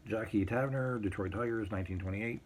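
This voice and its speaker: A man casually showing a collection on an unscripted video, reading items out in short, halting phrases with muttered asides. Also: fairly low pitch. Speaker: collector showing vintage baseball cards. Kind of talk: casual unscripted show-and-tell, reading out cards.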